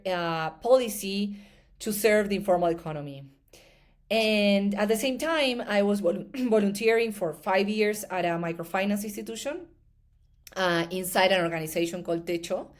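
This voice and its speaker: A woman's voice: mid-range voice, moderate pace. A woman, a startup founder answering interview questions thoughtfully, with many fillers and restarts. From Argentina.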